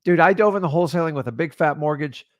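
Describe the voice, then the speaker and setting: A man's voice: a little high, fast, no echo, dull audio. A man co-hosting a real estate talk, bluntly lecturing viewers to drop their excuses. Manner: blunt, preachy, no-nonsense. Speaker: real estate wholesaling co-host.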